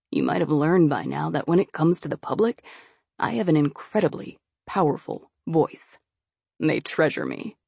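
A slick, scheming young nobleman with a lowered, gravelly voice, as a woman narrator puts him on. He talks smoothly and with menace, close and dry in a storybook reading. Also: no room sound, heavily acted. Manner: slick, coaxing, quietly threatening. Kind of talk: audiobook character voice.

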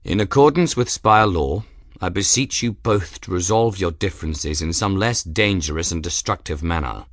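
A man voicing a grave, formal male feline master of arms with measured, ceremonious speech in an audiobook. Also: deep voice, dry recording, studio quality, close to an everyday voice. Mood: solemn formality. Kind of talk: audiobook character voice.

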